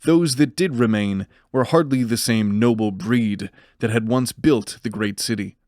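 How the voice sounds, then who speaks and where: Male fantasy narrator, measured and dramatic. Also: mid-range voice, darkish tone, dry recording, clean studio sound.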